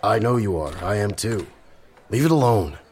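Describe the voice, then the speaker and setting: A man's voice: on the low side, expressive, moderate pace, a little mellow, slight background noise, close to an everyday voice. A man playing a sarcastic, resentful, curt younger brother in an audio drama. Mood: curt, annoyed. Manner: sardonic, curt.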